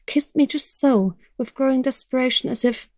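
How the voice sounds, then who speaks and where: A woman's voice: high voice, moderate pace, a little mellow, no room sound, lightly acted. Earnest, guarded young woman, played by an actress. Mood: uneasy, conflicted. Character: resolute, conflicted young woman resisting a tempter. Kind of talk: audio drama acting.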